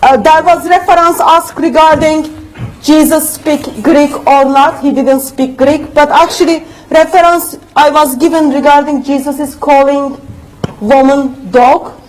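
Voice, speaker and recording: High-voiced woman debating in second-language English. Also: fairly even intonation, measured pace, darkish tone, rough recording. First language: not English. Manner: earnest, emphatic, unidiomatic English phrasing. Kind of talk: formal debate rebuttal. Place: university lecture room.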